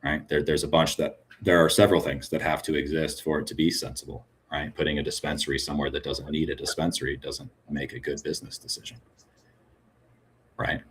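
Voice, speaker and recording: A man's voice: very deep voice, flat delivery, a little fast, slight background noise. A man speaking frankly as a podcast guest, often tagging his points with "right?". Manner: frank, tag questions with "right?". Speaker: cannabis business guest. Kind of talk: conversational podcast interview.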